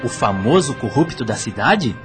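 A man's voice: mid-range voice, very animated, moderate pace, lightly acted. An actor playing a bitter, accusing dead man with a Brazilian accent in a radio drama. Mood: scornful, accusing. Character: bitter, scornful murdered man confronting a corrupt official. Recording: music under the voice.

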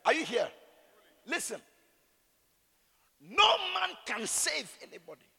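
A man with a Ghanaian accent and a raspy voice, preaching in an animated, conversational way full of rhetorical questions and repeated phrases. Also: extremely high pitch, slow. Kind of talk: sermon with conversational, opinionated asides. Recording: echoey hall with long reverb.

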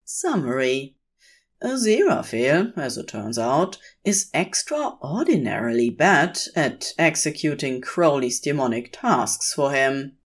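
Low, expressive, unhurried woman narrator. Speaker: podfic narrator. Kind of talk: scripted fiction narration.

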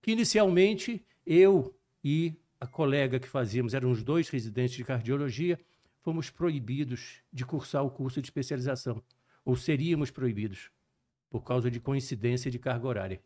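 An older man with a mixed Minas Gerais and Rio Brazilian accent, a cardiologist guest telling his story in a measured, detailed, professorial way. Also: medium pitch, darkish tone. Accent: mixed Brazilian Portuguese, Minas Gerais and Rio de Janeiro. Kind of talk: relaxed storytelling interview.

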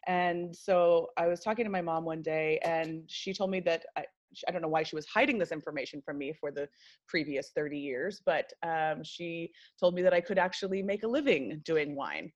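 Chatty American woman with a low voice. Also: normal speed. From United States. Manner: chatty, many ums and uhs. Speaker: wine industry guest. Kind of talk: casual interview conversation.

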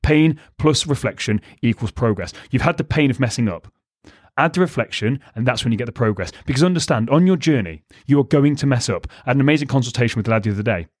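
A male podcast host and fat loss coach, talking solo with emphatic, repeated punchlines and a slightly croaky voice. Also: medium pitch, lively intonation, fast, no room sound, studio quality. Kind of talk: solo motivational podcast monologue.

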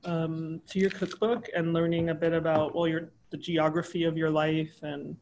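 Casting: friendly-sounding interviewer, halting encouraging questions, faint hiss behind. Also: medium pitch, little pitch movement, a little mellow. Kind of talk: conversational interview.

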